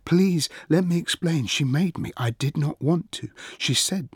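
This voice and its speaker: A man's voice: a little high, measured pace, slightly rough, no room sound, studio quality, pitched above the performer's own voice. A man voicing a passionate, earnest young prince in an audiobook, urgent and emotional in manner. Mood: pleading.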